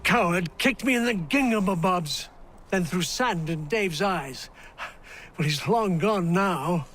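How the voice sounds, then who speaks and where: A man's voice: high voice, expressive, slow, a bit bright, faint music behind, heavily acted. A man playing a disgruntled, plain-spoken rustic frontiersman in a video game, colloquial and grumbling. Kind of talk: video game dramatic acting.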